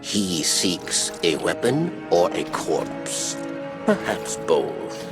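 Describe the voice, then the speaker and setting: Gravelly old alien game character, cryptic and halting, swoops around in pitch, music playing loud. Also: male voice, big pitch swings, exaggerated voice. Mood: wary, guiding. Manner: halting, clipped, refers to himself in third person. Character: wary old four-armed alien scribe, deferential and cryptic. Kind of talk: video game character dialogue.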